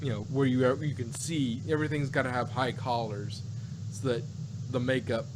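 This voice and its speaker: A man's voice: medium pitch, steady tone. Guy cohosting a chat, rambly, keeps restarting sentences, medium voice, noisy hummy home recording. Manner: rambling, halting, repeats and restarts phrases. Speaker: podcast co-host. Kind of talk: casual chat, reviewing sci-fi.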